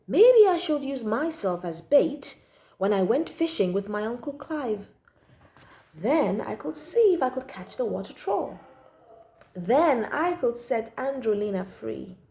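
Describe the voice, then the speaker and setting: A woman's voice: on the high side, warm tone. A woman reading a children's story aloud expressively, drawing out key words for emphasis.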